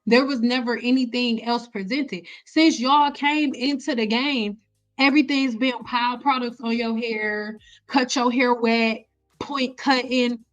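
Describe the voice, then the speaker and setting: A woman's voice: on the high side, steady tone, measured pace, highs cut off, soft background music. A woman in her thirties lecturing viewers on a live stream as an educator, emphatic and repeating phrases to drive them home. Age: 30s. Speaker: host, hair care educator.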